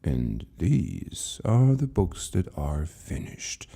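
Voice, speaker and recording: A man voicing a benevolent, unhurried old keeper of a mysterious library in a story reading, speaking in a hushed, measured, gentle way. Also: deep voice, huge pitch swings, vocal fry, exaggerated voice. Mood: calm, solemn. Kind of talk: dramatized short story reading.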